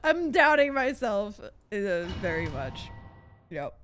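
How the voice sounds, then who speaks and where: A woman giving casual gameplay commentary as a streamer, thinking out loud and often second-guessing herself in a giggly way. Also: medium pitch, very animated, slow pace. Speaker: game streamer. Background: game music and character voice lines.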